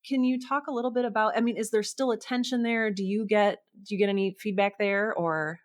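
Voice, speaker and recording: A woman's voice: mid-range voice, steady tone, fairly quick. Effusive woman podcast host. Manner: effusive, chatty. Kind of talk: enthusiastic conversational interview.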